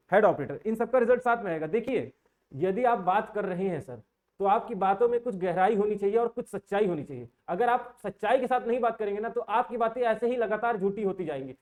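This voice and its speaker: A man's voice: high-pitched, darkish tone. A young man giving informal commentary to viewers, earnest and argumentative, mixing English words into his Hindi and often asking pointed rhetorical questions. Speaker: exam-aspirant community vlogger.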